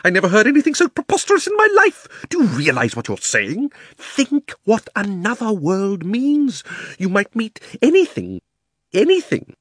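A cruel, pompous old uncle from a children's storybook, a gentleman magician. His delivery is extremely animated, soaring with haughty indignation and sinking into condescension. The sound is dry and close. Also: mid-range voice, moderate pace, no room sound, pitched above the performer's own voice. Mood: offended, preposterous. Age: older. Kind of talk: dramatised storybook reading.

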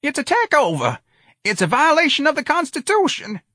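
Agitated old man, audiobook character, shrill high pitch, swooping, accusing, crying out. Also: huge pitch swings, moderate pace, sharp tone, dry recording, studio quality, full character voice. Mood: panicked.

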